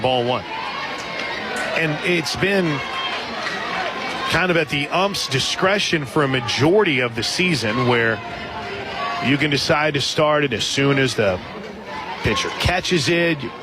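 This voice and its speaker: A man doing live radio play-by-play commentary of a softball game as the announcer. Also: on the high side. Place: outdoor softball stadium broadcast position. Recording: loud stadium crowd noise behind. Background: loud crowd and ballpark ambience.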